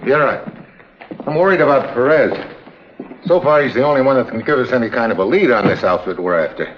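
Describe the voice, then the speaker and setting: An actor with an American accent playing a brisk, impatient investigator in a vintage drama, talking in clipped slang on a dull old recording. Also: male voice, mid-range voice, animated, a little hiss or hum, lightly acted. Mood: urgent. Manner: clipped, slangy, hard-boiled. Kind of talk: old-time radio drama acting.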